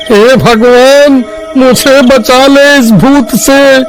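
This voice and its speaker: A man's voice: very high voice, steady tone, extremely slow, exaggerated voice. A man playing a timid, pleading village barber, delivered melodramatically in a cartoon story. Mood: frightened. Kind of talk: Hindi cartoon story acting. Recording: music behind the voice.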